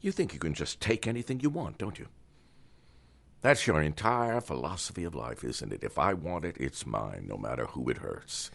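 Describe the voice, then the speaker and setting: A very deep, gravelly male voice carries a stern older doctor who lectures with authority. His pitch swings widely as he moralizes and scolds in this audio drama. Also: big pitch swings, normal speed, a bit bright, heavily acted. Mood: scolding. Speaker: Doctor Barrows.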